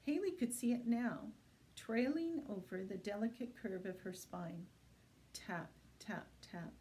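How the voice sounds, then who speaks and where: A woman's voice: mid-range voice, a little slow, mellow, low-quality recording. A woman reading a suspense novel aloud in the style of an audiobook, as a library reader.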